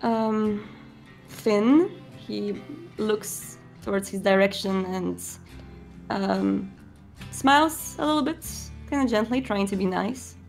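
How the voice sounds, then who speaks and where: A woman's voice: mid-range voice, slow, soft background music. A woman improvising narration for her character in a tabletop roleplaying game, searching for words as she goes. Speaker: roleplay player.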